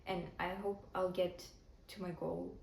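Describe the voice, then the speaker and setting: A woman's voice: fairly low pitch, level tone, unhurried, vocal fry, a little mellow, a little hiss or hum. Woman, native Ukrainian speaker, casual book vlog, thinking aloud, faint ambience behind. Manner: chatty, thinking aloud with restarts. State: tired. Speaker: book vlogger. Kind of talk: casual vlog chat about reading. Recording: roomy, far from the mic, faint background ambience.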